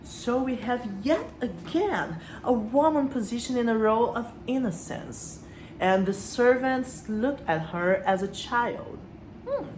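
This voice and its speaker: A woman's voice: on the high side, expressive, measured pace, a little mellow. A woman giving literary commentary as a video host, speaking reflectively and posing rhetorical questions to her listeners. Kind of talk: literary commentary with read-out quotes. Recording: roomy echo, faint background noise.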